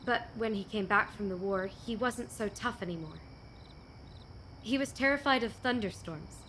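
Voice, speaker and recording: A woman's voice: mid-range voice, moderate pace, a bit bright, a little hiss or hum, natural voice. A young woman acting a proud, bitter, fiercely independent young woman in a radio play, guarded and terse. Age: young adult.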